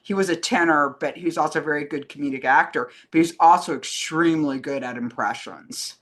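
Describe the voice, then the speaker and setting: A woman's voice: low voice, fairly quick. A woman co-hosting a casual, conversational discussion about films. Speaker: co-host fielding fan questions. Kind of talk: casual film chat.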